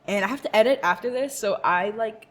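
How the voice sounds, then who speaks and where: A woman's voice: medium pitch, fairly quick, a little hiss or hum. A young woman hosting a reaction video, talking casually and sounding exasperated, often laughing. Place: cold room at home.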